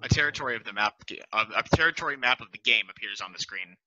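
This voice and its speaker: Man reading stage directions, crisp bright voice, steady, dull video-call sound. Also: mid-range voice, quick pace, dry recording. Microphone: video call headset.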